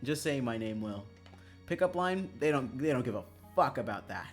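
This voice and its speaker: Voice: mid-range voice, lively intonation, normal speed, faint music behind. A streamer chatting casually on a livestream in a joking, self-mocking, dramatic way, swearing freely.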